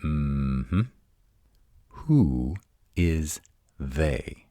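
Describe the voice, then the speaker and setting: A man playing a grumpy, protective male were-dragon who talks in a gruff, sarcastic drawl in a roleplay audio drama. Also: extremely low pitch, very slow, dark tone, dry recording, studio quality, heavily acted. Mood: indignant, probing.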